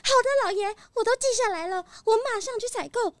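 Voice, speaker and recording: A woman voicing a nervous, eager-to-please purchasing assistant in a children's audio drama, stammering and hurried. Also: very high voice, loud music behind the voice. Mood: eager, obedient.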